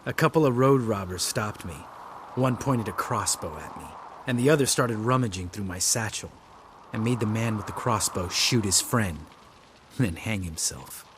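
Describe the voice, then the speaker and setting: An actor playing a younger monster hunter in a fantasy video game, cynical and bitter, with a blunt, sardonic manner. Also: male voice, on the low side, normal speed, noisy background, lightly acted. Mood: wry, callous.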